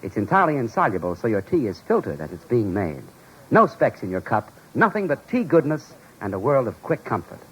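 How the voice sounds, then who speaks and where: A man's voice: mid-range voice, very animated, a little fast, slightly rough, low-quality recording. An American man announcing a 1940s radio commercial in a polished, emphatic sales style. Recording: old 1940s radio recording.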